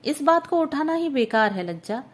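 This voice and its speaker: A woman narrator voicing a man who calmly and firmly refuses marriage. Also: high-pitched. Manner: measured, resolute.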